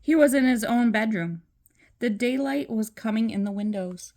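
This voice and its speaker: An older woman, a grandmother, reading a children's story aloud in a deliberate narrating style. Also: on the high side, a little mellow.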